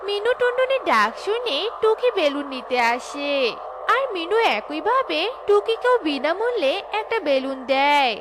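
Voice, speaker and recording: Lively high-voiced woman narrating a cartoon. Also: measured pace, noisy background. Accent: Bengali. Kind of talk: storybook narration. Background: children's music.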